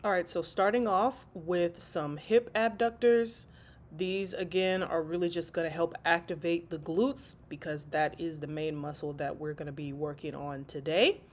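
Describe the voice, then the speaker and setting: A woman's voice: on the low side, fairly even intonation, normal speed. A stuffy, congested woman chatting casually through a workout voice-over, calling her viewers y'all. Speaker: fitness vlogger.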